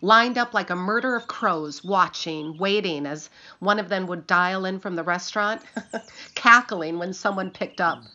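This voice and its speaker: A bright-voiced, skeptical farm wife. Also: female voice, fairly low pitch, unhurried, muffled recording. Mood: amused reminiscing. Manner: disapproving, folksy. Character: practical, skeptical farm wife, reluctantly indulging her husband. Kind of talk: radio play acting.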